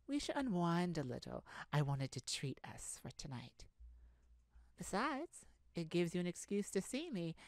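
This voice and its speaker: A woman's voice: on the low side, a little mellow, no room sound, full character voice. A woman playing a charming, glamorous lady thief in a romantic ASMR roleplay, coy and teasing, speaking sweetly to the listener. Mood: coy, inviting. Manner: coy, teasing, leisurely with breathy chuckles. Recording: music behind the voice.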